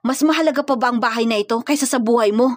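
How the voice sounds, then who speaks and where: A woman's voice: on the high side, racing, dry recording, close to an everyday voice. Young male hired killer, played by a female narrator, serious, protective, firm commands, audio romance story, dry close sound. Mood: urgent, firm.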